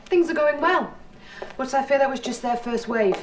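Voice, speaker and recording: A woman's voice: sits high, moderate pace, close to an everyday voice. A woman playing a dutiful intelligence officer who gives earnest briefings in a video game. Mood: concerned. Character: crisp, dutiful female military intelligence officer. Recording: slightly distant, music behind.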